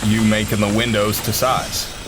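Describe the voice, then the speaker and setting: An actor playing a firm, by-the-book building inspector, with engine noise behind. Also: male voice, lowish voice, level tone, normal speed, lightly acted. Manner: firm, unbending.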